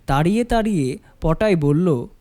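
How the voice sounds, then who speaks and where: A man's voice: on the high side, animated, normal speed, mellow. A man reading a scripted story as its narrator. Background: music.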